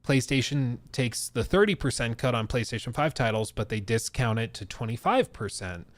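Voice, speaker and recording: Male podcast host, chatty and informal livestream commentary, slangy, slightly low voice, dry close sound. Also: lively intonation, moderate pace, no echo. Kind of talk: casual livestream gaming news commentary.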